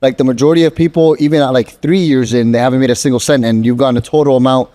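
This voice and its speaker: A man runs a casual interview, pressing his guest with questions and reacting with emphatic, hyped praise. His voice is mid-pitched and mellow, with a warm, relaxed tone. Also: very fast. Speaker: interviewer host.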